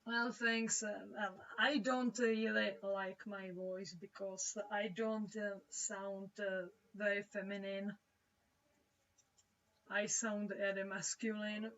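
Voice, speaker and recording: Slow, hesitant Czech-accented woman. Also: medium pitch, level tone, fairly bright tone. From Czech Republic. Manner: hesitant, halting, searching for words. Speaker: livestreaming comic artist. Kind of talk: casual livestream chat while drawing. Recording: dull, distant-sounding home microphone.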